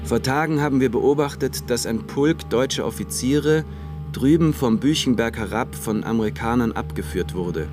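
A man voices a stranded wartime soldier giving a sober account in a radio play. His mid-pitched delivery is measured and matter-of-fact, with music clearly playing behind him. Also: natural voice. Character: stranded German soldier recounting the war's last days. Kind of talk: literary radio play narration.

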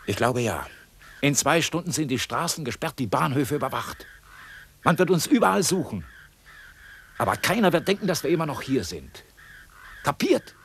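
An actor playing a shrewd, domineering escaped convict in a gruff, sarcastic, commanding way, in a German radio crime drama. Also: male voice, a little high, very animated, normal speed, long pauses, a bit bright, full character voice. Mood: cocksure, insistent. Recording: hissy 1960s radio drama recording.

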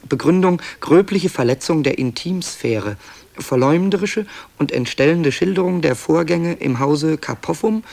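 A man's voice: on the high side, gravelly, darkish tone. A man narrating a radio play as its author, in a reflective, digressive, essay-like way, addressing listeners and interrupting himself.

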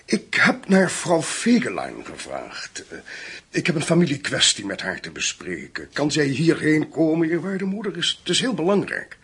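An actor in a radio play playing a calculating schemer who poses as a polite, hesitant priest. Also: male voice, a little high, wildly swooping pitch, normal speed, a bit bright, slightly rough, full character voice.

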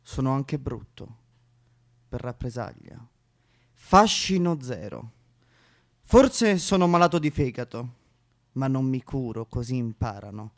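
A man's voice: medium pitch, very animated, measured pace, pauses often. A male actor playing a bitter, self-loathing misanthropic man in a histrionic theatrical comic monologue. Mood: sneering, self-loathing. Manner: histrionic, swinging from muttering to outbursts with spitting noises. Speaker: stage monologue performer.

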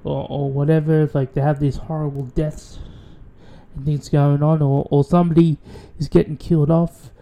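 A man's voice: a little high, little pitch movement, normal speed, dark tone. A man with an Australian accent, hosting a radio show in a rambling, profane casual monologue with many restarts.

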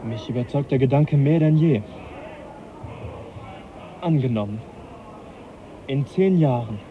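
A courteous, earnest scientist in a radio play, arguing with measured logic and dry irony. The voice is on the high side, on a slightly dull recording with faint noise. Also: male voice. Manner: measured, reasoning, dryly ironic. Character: polite, earnest, quietly provocative young naturalist. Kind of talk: radio drama acting.

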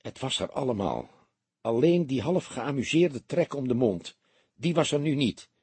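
Very husky male audiobook narrator. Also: medium pitch, sing-song, a little slow, a little mellow, dry recording. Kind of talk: scripted literary narration.

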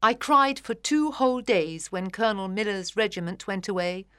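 A man's voice: high-pitched, normal speed, sharp tone, smooth, no room sound, studio quality, voice pushed up. A male narrator voicing a fussy, sentimental middle-aged mother who talks in a gushing, theatrical manner.

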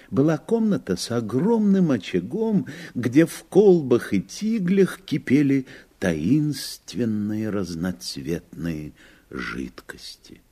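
A man narrating a fairy tale from a script in a husky voice, with an unhurried, expressive storyteller's delivery. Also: mid-range voice.

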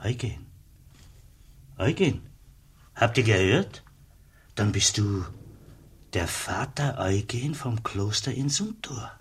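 Low, husky kindly customs man. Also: lively intonation, takes it slowly, pauses often, heavily acted. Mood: awed. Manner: simple, friendly, familiar. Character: kindly, plain-spoken, devout border customs officer. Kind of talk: German radio drama acting.

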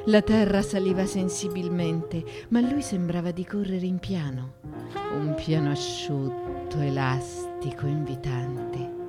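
A woman giving an intense, theatrical literary reading for radio theatre, phrasing deliberately with pauses. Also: lowish voice, big pitch swings. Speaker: actress reading literary monologue. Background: music.

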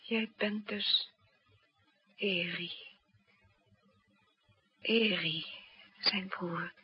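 An actress playing a tender, anxious young woman in a 1960s radio drama, speaking in an intimate, halting, breathy way. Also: mid-range voice, steady tone, slight background noise. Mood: hushed, awed. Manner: breathy, intimate, halting, often near whispering. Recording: muffled, dull 1960s radio recording.

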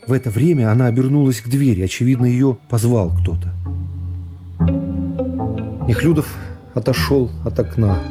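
A middle-aged man narrating a classic novel for radio in a measured, dramatic storytelling manner. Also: medium pitch. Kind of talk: literary narration in a radio play. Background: music.

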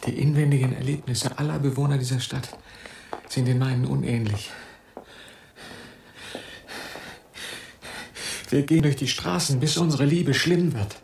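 A man's voice: mid-range voice, fairly quick, creaky, a little mellow, echoey room, a little hiss or hum, lightly acted. An actor playing a forsaken, restless young man in a radio drama, brooding aloud in an intense, declamatory monologue. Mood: restless yearning.

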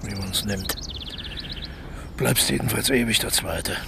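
An actor playing a wry, evasive ex-convict and failed actor in a naturalistic radio crime drama, reticent and resigned in manner. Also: male voice, lowish voice, takes it slowly, creaky, background noise. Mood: reflective, rueful. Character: Franz, wry, evasive failed actor turned art thief, male.

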